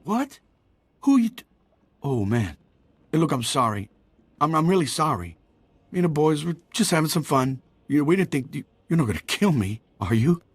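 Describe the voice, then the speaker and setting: A man's voice: on the high side, big pitch swings, moderate pace, husky, no echo. A man playing a cowardly, apologetic petty bully in a video game, nervous and stammering. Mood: frightened.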